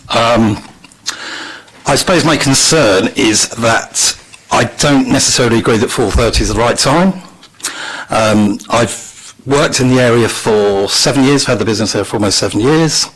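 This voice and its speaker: Brief: businessman, man, croaky and husky, polite and businesslike. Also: mid-range voice, unhurried, a bit bright, low-quality recording. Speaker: local business owner addressing council. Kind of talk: public comment. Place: council meeting room.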